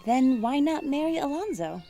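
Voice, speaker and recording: A woman's voice: high voice, sing-song, normal speed, warm tone, soft background music. A woman voicing a sensible young woman student who asks dry, teasing questions.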